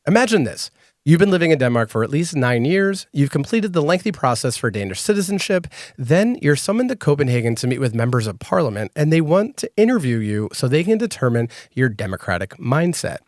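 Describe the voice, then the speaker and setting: A man hosting a podcast, explaining clearly in a measured, scripted way and interviewing a guest. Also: on the high side, big pitch swings, no echo, studio quality. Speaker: podcast host.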